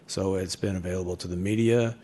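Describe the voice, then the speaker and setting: A man reporting to a city commission, measured and steady, with frequent ums. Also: sits low. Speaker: fire department official presenting. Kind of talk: formal testimony at public meeting.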